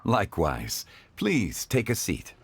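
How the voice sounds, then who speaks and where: A man voicing a composed, learned gentleman in a game, speaking in a formal, measured, eloquent way. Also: fairly low pitch, huge pitch swings, breathy edge, exaggerated voice. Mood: gracious. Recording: voice over background music.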